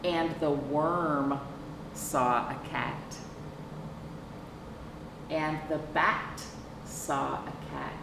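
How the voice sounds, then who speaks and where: A middle-aged woman reading a picture book aloud to young children as a librarian, explaining deliberately and asking rhetorical questions. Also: low-pitched, fairly even intonation, creaky. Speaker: children's librarian hosting storytime. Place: indoor room with sofa. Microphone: camera a few feet away. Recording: echoey, noisy room, distant mic.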